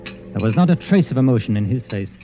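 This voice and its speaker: An actor playing a dinner guest who narrates a suspense tale in tense, dramatic 1940s radio style. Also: male voice, mid-range voice, animated, quick pace, slight background noise, close to an everyday voice. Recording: dull old radio recording.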